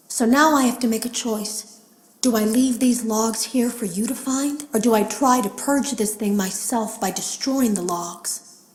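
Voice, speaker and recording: An actress voicing a female beta tester who leaves hushed, wary audio logs warning of a hidden threat in a game. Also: fairly high pitch, roomy sound, low-quality recording. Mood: urgent, resolute. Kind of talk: scripted game voice acting.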